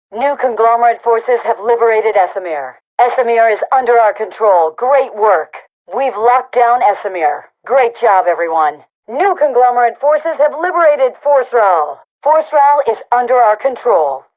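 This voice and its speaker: An actress playing a brisk female rebel army commander in a video game, giving clipped, authoritative battle announcements through a radio filter. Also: fairly high pitch. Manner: clipped, authoritative military delivery. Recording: tinny, band-limited radio comms sound.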